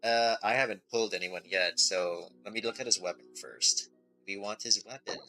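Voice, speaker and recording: Bright, forceful low-voiced male game streamer. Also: normal speed, poor audio. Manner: thinks aloud, reads on-screen text, asks himself questions. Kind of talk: casual live game stream commentary. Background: music.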